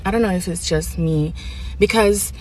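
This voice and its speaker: A woman's voice: on the low side, normal speed, a little mellow, rough recording. Woman from the Dominican Republic, casual rant, preachy and dismissive delivery. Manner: dismissive, lecturing.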